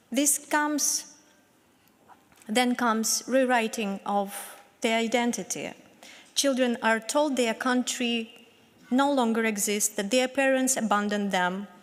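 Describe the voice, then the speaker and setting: A woman from Ukraine, speaking English with a Ukrainian accent, giving an earnest, measured speech as a member of parliament. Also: fairly high pitch. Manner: earnest, measured, with some restarts. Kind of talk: prepared speech at a conference. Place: large parliament meeting hall. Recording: long reverb of a large hall.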